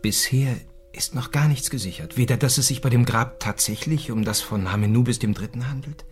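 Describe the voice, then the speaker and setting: A man's voice: medium pitch, brisk, natural voice. A man playing a courteous, deferential assistant to a lady in a German radio play, polite, formal and attentive. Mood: respectful, serious. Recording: music under the voice.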